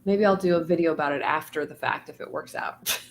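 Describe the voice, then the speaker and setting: A woman's voice: low-pitched, a little fast, creaky, a little hiss or hum. Chatty woman losing her voice. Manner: chatty, laughs often, many fillers. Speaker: writing stream host. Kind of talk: casual chat.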